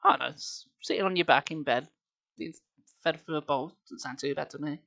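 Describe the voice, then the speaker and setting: A man's voice: on the high side, brisk, gravelly, pushed and bright. A man reading a story aloud on a live stream, theatrical and playful, with casual asides.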